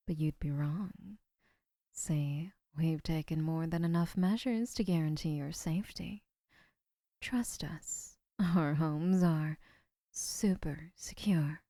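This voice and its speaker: An actress playing a gentle, obsessive young yandere woman in an audio roleplay, speaking in soft, hushed murmurs. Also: sits low, no room sound, clean studio sound.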